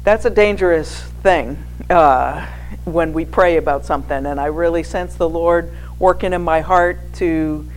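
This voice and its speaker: A woman's voice: deep voice, darkish tone, poor audio. An American woman in her sixties giving an informal personal testimony in a hesitant, conversational, anecdotal way. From New England, United States. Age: 60s. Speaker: guest speaker, former missionary sharing with a church congregation. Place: speaking live to a church gathering. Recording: clear background noise with hum.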